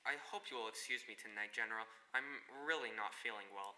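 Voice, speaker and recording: A man's voice: fairly low pitch, fast, heavy background noise, close to an everyday voice. A man playing a defiant, uneasy castaway hunted as prey in an amateur drama, speaking in a terse, guarded way. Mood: uneasy, polite. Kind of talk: amateur short story dramatization.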